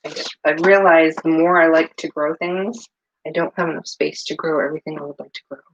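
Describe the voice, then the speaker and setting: A woman's voice: low-pitched, steady tone, brisk, lo-fi sound. A middle-aged woman from New England chatting casually in her home kitchen while she makes soap, rambling with lots of fillers. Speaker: soap maker and vlogger.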